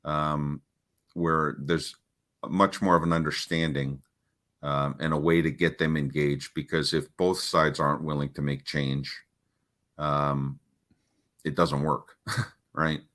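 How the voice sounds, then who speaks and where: A middle-aged man with a deep voice, a parenting coach talking casually in an interview, with many restarts and fillers. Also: level tone, slow, long pauses, fairly bright tone.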